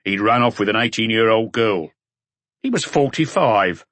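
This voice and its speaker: Man playing blunt burly butcher, lively delivery. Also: fairly low pitch, normal speed, no echo. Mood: scornful, knowing. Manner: blunt, opinionated. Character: powerful burly male butcher, blunt bar regular.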